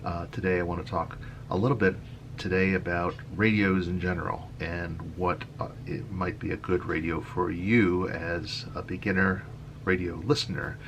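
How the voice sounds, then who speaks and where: A man giving a casual tutorial as a hobby video host, talking haltingly with frequent hesitant pauses. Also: sits low, little pitch movement, highs cut off, noisy background. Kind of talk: casual screen-share tutorial.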